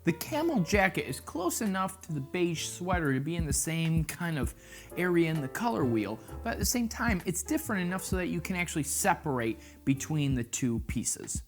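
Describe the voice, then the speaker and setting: A man's voice: fairly high pitch, very animated, normal speed, faint music behind. A man presenting a casual fashion vlog, chatty and playful, with jokey asides. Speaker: fashion vlogger. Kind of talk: casual style-tips vlog.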